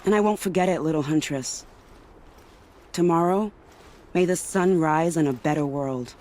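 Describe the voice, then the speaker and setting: Actress as a low-voiced, sly woman spy. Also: darkish tone, close to an everyday voice. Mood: fierce resolve. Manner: arch, dramatic, measured. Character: sly, poised woman spy, playful yet fiercely vengeful. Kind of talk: video game cutscene acting. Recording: music behind the voice.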